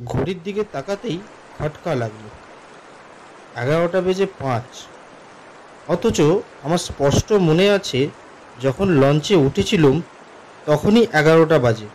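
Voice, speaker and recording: A man playing an uneasy office commuter who narrates his own horror story in an expressive, atmospheric way for a cartoon. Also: fairly high pitch, unhurried, pauses often, background noise. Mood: uneasy, hushed. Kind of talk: dramatic first-person horror story narration.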